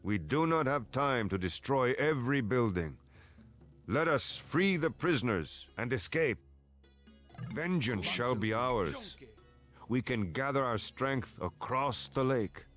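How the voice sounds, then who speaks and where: An actor playing a loyal Aztec warrior adviser in a video game, grave, formal and determined. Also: male voice, medium pitch, animated, a bit bright, faint music behind. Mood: resolute. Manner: deliberate, earnest, formal. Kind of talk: scripted video game mission dialogue.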